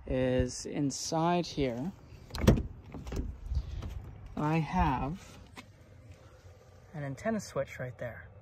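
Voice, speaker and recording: A man's voice: fairly high pitch, fairly bright tone. A man giving a casual, explanatory walkthrough as a vlogger showing off his gear. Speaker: vlogger showing his vehicle radio setup. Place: outdoors by a truck, then inside it. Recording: clear background noise, vehicle ambience.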